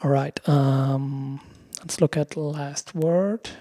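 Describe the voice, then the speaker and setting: Bright-voiced man casually explaining software. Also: a little high, steady tone, slow pace, no room sound. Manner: hesitant, searching for words. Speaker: host of a music production tutorial. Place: room at home. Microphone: close desk microphone with headphones.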